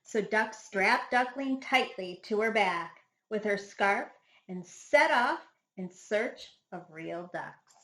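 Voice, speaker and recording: A woman reading a children's picture book aloud like a schoolteacher, with dramatic, deliberate phrasing. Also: mid-range voice, animated, pushed and bright. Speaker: first grade teacher reading a picture book. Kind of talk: expressive children's storybook read-aloud. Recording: echoey room sound.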